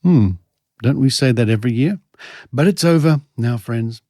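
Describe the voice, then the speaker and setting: A man's voice: mid-range voice, moderate pace, dark tone, no room sound. An Australian man hosting a podcast, commenting conversationally and reading aloud with dry, skeptical asides. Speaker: podcast host.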